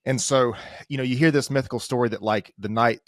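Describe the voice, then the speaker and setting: A man's voice: mid-range voice, steady tone, a little fast, dry recording, clean studio sound. A man speaking as a podcast guest about fitness, talking casually and with enthusiasm, often repeating himself. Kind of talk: casual conversation.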